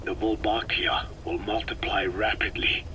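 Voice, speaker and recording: A very old male scientist with a calm, grave temper, whispering in slow, formal sentences. His voice sits low and never hurries. The cassette-tape recording carries a clear hiss. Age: older. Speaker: scientist giving instructions. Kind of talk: video game cassette tape dialogue.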